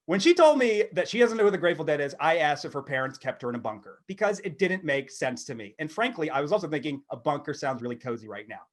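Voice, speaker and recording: A man in his thirties co-hosting a comedy podcast, talking casually in a wry, halting, self-deprecating way. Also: a little high, very animated. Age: 30s. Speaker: podcast co-host. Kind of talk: casual comedic monologue and chat.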